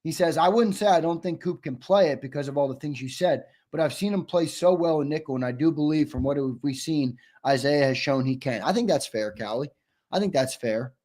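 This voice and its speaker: A hoarse-sounding man hosting a live sports talk show, pushing back hard on viewer comments. He pitches his voice a little high and keeps hammering his points with repetition. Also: a little fast, a little mellow. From United States. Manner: argumentative, emphatic, repeats himself for stress.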